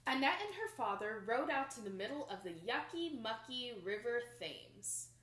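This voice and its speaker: A young woman reads a children's picture book aloud with expressive, dramatic emphasis, recorded in a small room indoors. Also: fairly high pitch, moderate pace. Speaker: storybook reader. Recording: roomy home recording.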